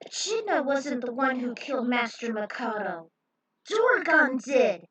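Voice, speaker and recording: A woman's voice: sits high, sing-song, a little slow, a bit bright, slightly rough. A woman reading a story aloud and adding casual commentary of her own. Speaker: narrator of a fan fiction reading.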